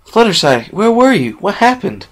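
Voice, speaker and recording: A man voicing a brash, tomboyish young female pegasus filly, loud and high and strained when upset. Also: big pitch swings, normal speed. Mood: eager.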